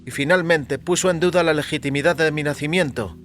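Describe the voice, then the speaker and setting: A man performs a timid, aggrieved little man in an audio story. He speaks in a raised pitch, politely but nervously and hesitantly. Soft music plays underneath. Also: fairly quick, natural voice. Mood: indignant, nervous. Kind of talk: audio fiction story character.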